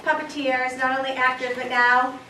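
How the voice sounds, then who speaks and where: A high-pitched woman addresses an audience informally and haltingly as organiser of a children's show. She is some way from the microphone, in an echoing room. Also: little pitch movement, bright, forceful tone. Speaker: children's theatre programme organiser introducing a show. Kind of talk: informal welcome speech to an audience. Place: community building hall, before an audience. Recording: echoey room, distant microphone, noisy.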